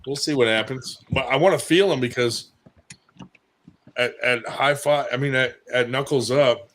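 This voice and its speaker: A man's voice: mid-range voice, normal speed, a bit bright, a little hiss or hum. Laid-back man chatting about arm wrestling. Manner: loose, rambling, swears freely. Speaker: arm wrestler on a livestream show. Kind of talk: casual chat.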